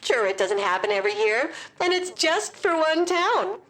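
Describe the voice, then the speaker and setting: Small-town lady mayor, very high voice, pompous, vain, rambling, comedic audio drama. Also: very animated, normal speed, fairly bright tone, rough recording. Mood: defensive.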